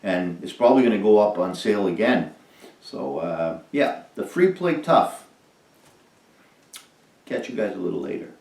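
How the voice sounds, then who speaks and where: A man's voice: low voice, sing-song, normal speed, creaky, long pauses, a little mellow. A man from Canada talking casually as an outdoor vlog host, rambling in a hesitant, folksy way. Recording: roomy, distant mic, faint noise.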